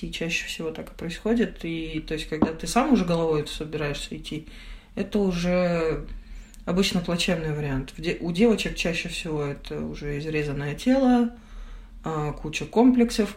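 A young woman giving a candid personal account as a podcast guest, speaking in halting, reflective phrases. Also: low-pitched, darkish tone.

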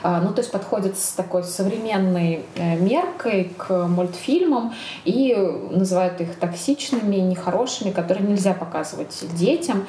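A woman's voice: mid-range voice, normal speed, warm tone. A woman co-hosting a casual discussion, explaining things in a hesitant way as she searches for words. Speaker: podcast co-host. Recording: roomy, echoey, faint background noise.

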